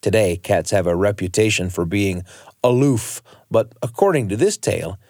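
A man's voice: on the low side, lively intonation, normal speed, a little mellow, dry recording. A man hosting a radio show with scripted, playful, folksy narration addressed straight to listeners. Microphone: close studio microphone. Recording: dry studio with music underneath.

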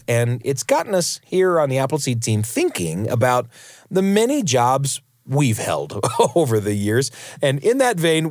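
A man hosting a storytelling radio show, delivering scripted introductions in an expressive, animated way. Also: mid-range voice. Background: faint music.

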